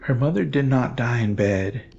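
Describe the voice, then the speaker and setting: A man narrating a scripted horror story in a solemn, measured, portentous manner with dramatic pauses. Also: medium pitch, expressive, gravelly, a little mellow, husky, lo-fi sound.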